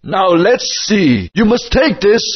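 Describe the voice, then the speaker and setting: Old dinosaur guardian, wise and fatherly, high lively voice, slow, dull lo-fi game audio. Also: husky, full character voice. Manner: grand, storytelling, scolding.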